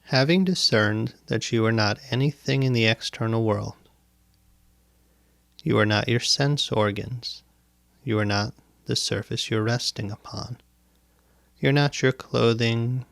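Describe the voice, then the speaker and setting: Man, meditation teacher, very unhurried pace, gravelly creak, deliberate instructive phrasing, close mic. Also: mid-range voice, a bit bright, no echo. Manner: deliberate, instructive, with pauses and repetition. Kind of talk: guided meditation, spoken instruction. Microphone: close microphone, dry sound.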